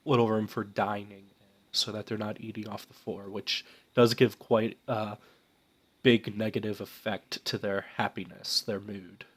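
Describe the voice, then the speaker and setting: A young man with a stuffed-up, nasal voice from a cold, casually commenting on a game while thinking aloud with many fillers. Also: lowish voice. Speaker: let's play host.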